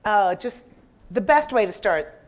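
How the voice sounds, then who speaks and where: An American woman from Colorado giving an informal, animated business presentation on stage, engaging her listeners with questions and stories. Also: medium pitch, measured pace, noisy background. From Denver, Colorado, United States. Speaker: motivational business speaker, branding expert. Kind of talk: live presentation with storytelling. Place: live event stage with an audience.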